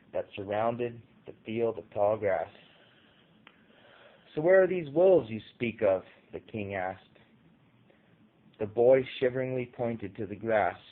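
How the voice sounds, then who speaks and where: A man's voice: fairly low pitch, narrow, tinny audio. A man reading a short story aloud as dramatic scripted narration.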